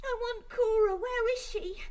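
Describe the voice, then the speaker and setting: A woman's voice: extremely high pitch, steady tone, a little slow, a loud crowd. A woman acting in a radio drama as a terrified young captive, half-mad with shock and wholly distraught. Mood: frantic.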